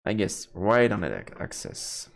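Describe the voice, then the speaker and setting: A man streaming a visual novel, reading the story aloud as dramatic narration with a halting delivery that stumbles over words. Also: lowish voice, very animated, creaky. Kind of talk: dramatic narration of game text. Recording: reverberant, echoey room sound. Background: game music.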